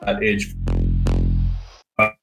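A man's voice: drawn-out words, over loud music. A young man with an Irish accent, a business owner answering interview questions in a reflective way, with many fillers and restarts. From Ireland. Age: young adult. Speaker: guest, painting business owner. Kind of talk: podcast interview.

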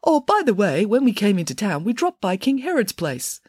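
A woman's voice: mid-range voice, sing-song, moderate pace, darkish tone, breathy edge, no echo, studio quality, pitched above the performer's own voice. A woman voicing a polite, naive, slightly pompous foreign king in a storybook, with a formal, guileless manner. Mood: chatty, oblivious.

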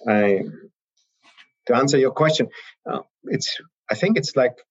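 A man's voice: mid-range voice, lively intonation, a little slow, slightly rough, dull audio. A man with an Austrian accent, a guest answering interview questions in a hesitant, conversational way with frequent ums and restarts. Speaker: guest, biotech investor.

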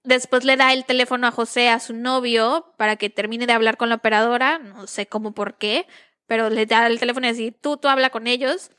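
Bright-voiced Mexican woman telling a story. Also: on the high side, steady tone, fairly quick. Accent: Mexican Spanish. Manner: chatty, slangy, laughs between lines. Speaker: podcast co-host. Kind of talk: casual true-crime storytelling.

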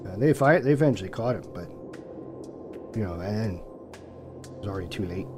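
A man acts out a nervous small-town policeman in an audio drama. He stammers and hesitates as he placates, his pitch rising and falling a lot. Music is clearly heard underneath. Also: animated, fairly quick, lightly acted. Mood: low, worried. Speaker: voice actor.

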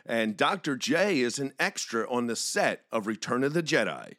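A man's voice: medium pitch, very animated, moderate pace, heavy background noise. A man hosting a podcast and narrating a scripted first-person story in a dramatic storytelling manner.

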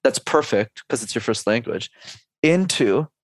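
A man's voice: medium pitch, expressive, unhurried, no room sound. A man in his twenties or thirties, a speech coach, talking energetically in a podcast interview and laying out advice in numbered steps. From Canada.

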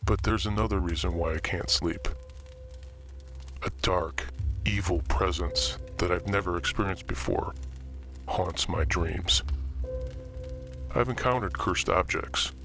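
An actor playing a brooding, theatrical occult collector, narrating a scripted horror tale in a measured way with dramatic pauses. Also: male voice, low-pitched, fairly bright tone, soft background music. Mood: grave, haunted. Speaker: narrator and main character of horror fiction podcast. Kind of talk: scripted first-person horror storytelling.

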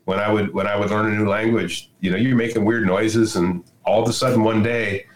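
A man's voice: on the low side, fairly even intonation, brisk. An older man, a podcast guest, chatting casually in a folksy, opinionated storytelling way. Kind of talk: casual conversation. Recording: roomy echo.

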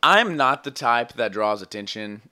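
A man's voice: mid-range voice, lively intonation, measured pace, sharp tone. A young man hosting a podcast, bantering casually with his co-host, teasing and laughing often.

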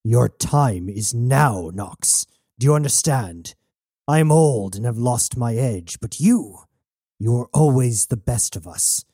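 A man's voice: medium pitch, lively intonation, slow pace, dark tone, slightly rough, no echo, clean studio sound, exaggerated voice. A man voicing an aging male mentor, firm, grave and insistent, in an audiobook.

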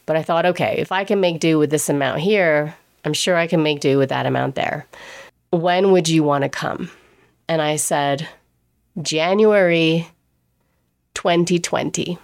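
Low-voiced woman telling her own story. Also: unhurried, creaky. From England. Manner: chatty, laughs often, quotes others in dialogue. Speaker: pastry chef. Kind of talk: personal storytelling monologue.

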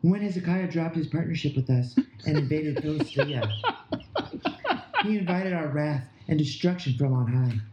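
A man's voice: on the high side, normal speed, dull audio, background noise, lightly acted. A man playing a pompous, menacing Assyrian ruler in an amateur audio drama, speaking in a grandiose, declamatory way. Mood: menacing, haughty. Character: pompous, menacing male Assyrian ruler rallying his forces. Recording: echoey room.

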